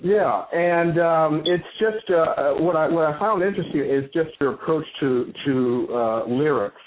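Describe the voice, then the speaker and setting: Halting man hosting over a tinny line. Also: a little high. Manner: halting, many "uh" fillers, flattering. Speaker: show host. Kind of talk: interview on a call-in style show. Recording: tinny phone-line sound with faint noise.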